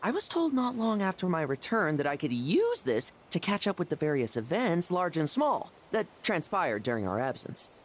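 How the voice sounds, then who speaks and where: A cocky young warrior in a fantasy game, with a light, very animated voice. He is breezy, teasing and irreverent, with clear noise behind him. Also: fairly high pitch, normal speed, hardly any pauses. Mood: wry, curious. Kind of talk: video game character acting.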